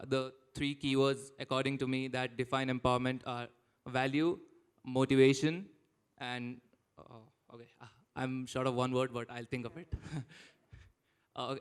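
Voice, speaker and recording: A nineteen-year-old man with an Indian accent, giving an informal, halting, unscripted answer as a student panelist. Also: fairly high pitch, smooth. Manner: hesitant, halting.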